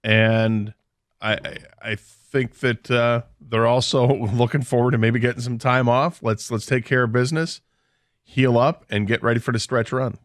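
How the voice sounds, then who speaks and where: A man's voice: medium pitch, steady tone, moderate pace, dry recording, clean studio sound. A man co-hosting a sports radio show, breaking down a football game in a casual, analytical way. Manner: analytical, confident picks. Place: radio studio.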